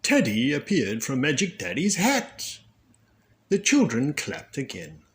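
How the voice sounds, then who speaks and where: Man reading a storybook slowly, very animated. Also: mid-range voice. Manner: animated, deliberate phrasing for children. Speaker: storybook reader, children's read-aloud channel. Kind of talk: expressive scripted storybook narration.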